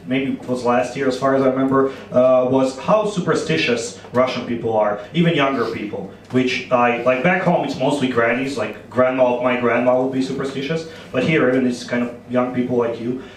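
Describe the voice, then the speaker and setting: A man in his thirties from Croatia with a Croatian accent, doing an animated, playful stand-up set on a club stage with a handheld mic. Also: mid-range voice, distant mic, slight background noise. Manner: animated, playful storytelling with quick asides. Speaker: stand-up comedian. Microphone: handheld microphone. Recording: echoey club, audience laughter. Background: audience laughter.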